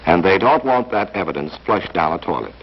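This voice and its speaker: A man's voice: deep voice, lively intonation, brisk. A man narrating a 1940s training film in a dramatic, hard-boiled scripted style, as if talking to the character. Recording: muffled, faintly hissy 1940s film soundtrack.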